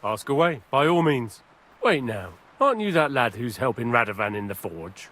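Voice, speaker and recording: An actor playing a chatty, aggrieved middle-aged medieval village bailiff in a video game. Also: male voice, medium pitch, big pitch swings, normal speed, a bit bright. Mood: chatty, curious. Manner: garrulous, grumbling.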